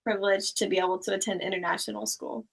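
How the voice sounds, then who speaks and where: A woman's voice: mid-range voice, quick pace, gravelly. A young woman who grew up in Thailand, chatting casually as an interview guest, with a rambling manner full of "like" and "um". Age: young adult. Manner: relaxed, rambling, many "like" and "um" fillers. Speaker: guest, small YouTuber.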